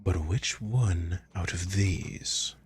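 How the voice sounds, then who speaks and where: A man playing a sadistic, controlling villain in an ASMR roleplay, breathy and husky, speaking with slow, condescending, taunting deliberation. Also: low-pitched, a little hiss or hum. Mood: cold, taunting. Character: sadistic, controlling male villain toying with a captive.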